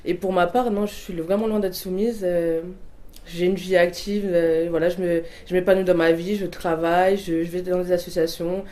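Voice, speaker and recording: A woman's voice: on the low side, steady tone, moderate pace, mellow. A woman in her twenties giving a personal testimony, hesitant, with many fillers and restarts. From Portuguese family background. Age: 20s. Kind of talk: personal testimony, answering questions.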